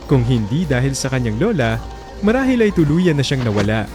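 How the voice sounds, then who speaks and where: A man's voice: medium pitch, sing-song, a little fast. A man with a Filipino accent narrating a scripted love story in a dramatic storytelling style. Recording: music bed under the voice. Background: background music.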